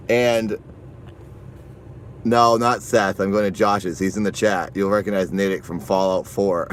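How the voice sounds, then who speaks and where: A man with a hoarse, raspy voice pitched a bit low rambles through a casual live stream, laughing often as he talks. He is from Massachusetts and speaks into a phone outdoors. A faint hum of passing cars sits behind him. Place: outdoors, walking and driving around town.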